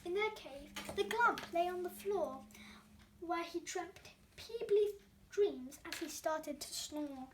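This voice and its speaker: A girlish female storyteller reads a picture book aloud. Her voice is very high and her delivery is expressive. The recording has faint background noise and small clicks. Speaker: storybook reader and presenter. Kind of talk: expressive children's story reading.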